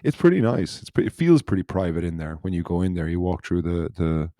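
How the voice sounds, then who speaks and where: Low-voiced man rambling on a podcast. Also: very animated, a little fast, mellow, no room sound, clean studio sound. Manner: rambling, loose storytelling that circles back on itself. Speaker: podcast host. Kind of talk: casual podcast chat.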